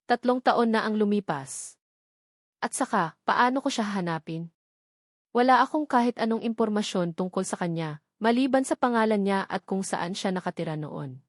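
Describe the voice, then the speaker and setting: A woman's voice: mid-range voice, lively intonation, moderate pace, no room sound, clean studio sound, natural voice. Woman voicing a tired, devoted young single mother. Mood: resigned.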